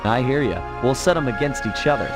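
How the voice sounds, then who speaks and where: A man's voice: medium pitch, animated, brisk, lightly acted. A man playing a cocky, easygoing master thief in a video game, breezy and teasing. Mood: wry. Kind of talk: animated video game cutscene dialogue. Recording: music behind the voice.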